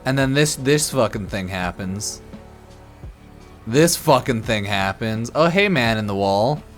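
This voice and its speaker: A man's voice: mid-range voice, lively intonation, a little slow. A man streams casual commentary on a game's story over faint music. He is a little drunk, with a mid-range voice. His delivery is blunt and sarcastic, peppered with swearing and mocking paraphrase. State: a bit drunk. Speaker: game streamer.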